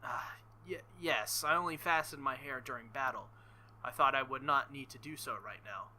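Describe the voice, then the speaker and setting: A man voicing a calm, formal young woman swordsman from a visual novel, with polite, measured phrasing. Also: medium pitch, a little hiss or hum, poor audio. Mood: shy.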